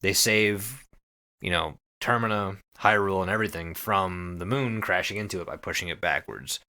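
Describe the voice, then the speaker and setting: A man hosting a podcast, giving a casual, profanity-laced story recap to his co-hosts in an animated way. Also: low-pitched, moderate pace. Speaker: podcast host.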